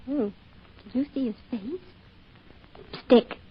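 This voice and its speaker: High-voiced kindly mom. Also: female voice, takes it slowly. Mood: affectionate, teasing. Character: kindly, gently teasing small-town mother. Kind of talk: old-time radio drama acting. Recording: dull, noisy old radio recording.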